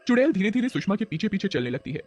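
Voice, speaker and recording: A man's voice: high-pitched, very animated, very fast, darkish tone. A man narrating a horror story as a dramatic storyteller.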